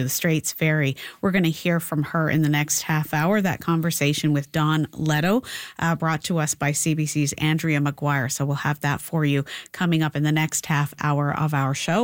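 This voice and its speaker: A woman's voice: on the low side, no room sound. A woman hosting a radio show, presenting in a conversational style from a studio. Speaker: morning radio show host.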